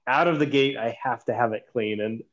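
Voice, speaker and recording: A man is a podcast guest who tells his stories with animated swings and stops to restart his sentences. His voice sits at a middling pitch. The sound is slightly dull and lo-fi. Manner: animated storyteller, halting restarts. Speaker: podcast guest, knife designer. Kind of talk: casual chat.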